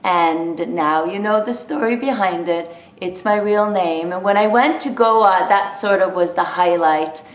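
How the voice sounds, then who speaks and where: A woman's voice: lowish voice, unhurried, few pauses, reverberant. A woman who grew up in Norway, chatting to camera and telling a personal story with laughs along the way. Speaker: vlogger. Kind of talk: casual talk to camera.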